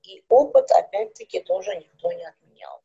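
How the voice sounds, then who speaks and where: A middle-aged woman, a speech therapist, calmly explaining things with everyday examples in an interview. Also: medium pitch, moderate pace, gravelly, husky, lo-fi sound. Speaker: speech therapist and psychologist guest.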